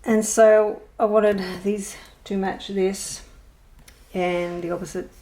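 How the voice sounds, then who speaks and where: A woman's voice: medium pitch, fairly even intonation, slow pace, warm tone. A woman chatting casually in a show-and-tell vlog, rambling and hesitant with many ums and self-deprecating asides. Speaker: colouring channel host.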